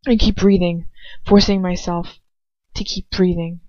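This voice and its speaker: A woman reading a story aloud in an expressive amateur narration, with dramatic emotion and casual asides. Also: lowish voice, a little slow, dry recording. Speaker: fan fiction reader. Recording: dull, lo-fi, dry home recording.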